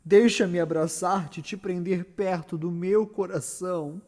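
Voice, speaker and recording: A majestic, grateful king of classical theatre, acted by a man with a Brazilian accent. The voice is pitched high for a man and rings through a long reverb. He proclaims to his nobles with grand, effusive warmth. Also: fairly even intonation, a little slow. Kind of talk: theatrical Shakespearean acting. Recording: reverberant room with long echo.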